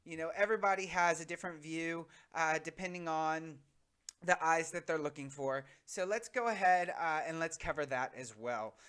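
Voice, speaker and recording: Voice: medium pitch, moderate pace, a bit bright, clear, resonant tone. An American teacher from the United States giving an informal English lesson, talking conversationally and directly to viewers.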